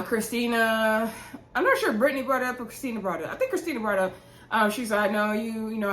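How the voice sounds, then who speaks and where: A woman's voice: medium pitch, a bit bright. A woman giving a casual, chatty recap of a TV episode as a vlog host, conversational and opinionated, talking straight to her viewers. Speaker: YouTube reality show recap host. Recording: roomy, echoey indoor sound.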